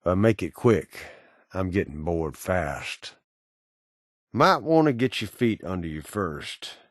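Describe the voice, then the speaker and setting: Video game ghoul bounty hunter: low-pitched, folksy Southern drawl, cynical and menacing, very dry sound. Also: male voice, animated, slow, no echo. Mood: menacing, bored. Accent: American Southern. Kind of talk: video game character dialogue.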